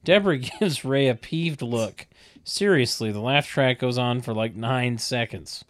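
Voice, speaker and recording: A narrator reading stage directions in a deadpan, wry, mock-literary comic style. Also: medium pitch, unhurried, no echo. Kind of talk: deadpan comedic script narration.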